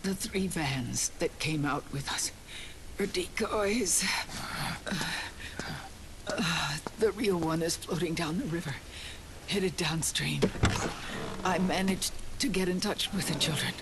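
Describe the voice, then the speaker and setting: A woman playing a weary but resolute wounded resistance leader in a video game, halting, breathy and strained. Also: lowish voice. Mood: urgent, apologetic. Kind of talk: dramatic video game acting. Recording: clear ambient background noise.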